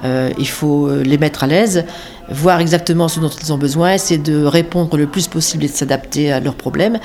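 A woman volunteer calmly explaining how she builds trust with learners. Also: low-pitched, normal speed. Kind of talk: interview answers.

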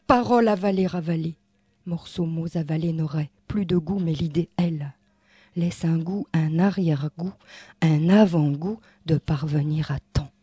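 A woman performing spoken-word poetry in an incantatory, repetitive way, swinging between hushed and forceful delivery. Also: deep voice, moderate pace, breathy edge, dry recording. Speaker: poet.

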